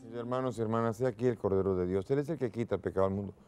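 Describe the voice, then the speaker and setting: A man in his sixties, a bishop, leading prayers and speaking to the faithful at Mass in a large church, in a solemn, measured way. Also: fairly low pitch, a little mellow, a little hiss or hum. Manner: solemn, measured liturgical delivery. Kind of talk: liturgical prayer and homily.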